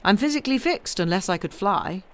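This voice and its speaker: A woman's voice: mid-range voice, lively intonation, fairly quick, lightly acted. Woman reader doing an idle gent from a storybook, breezy, politely flippant.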